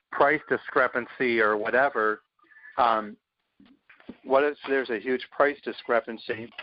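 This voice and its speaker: A man's voice: mid-range voice, slight background noise. A man speaking as a sales team leader on a conference call, confident and emphatic, punching his points. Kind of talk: motivational sales pitch on a call. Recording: dull lo-fi conference phone line.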